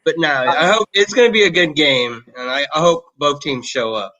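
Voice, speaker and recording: A relaxed man talking as a guest on a fan chat, joking along with the host. His voice sits a bit high and sounds bright. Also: moderate pace, poor audio. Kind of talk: casual chat.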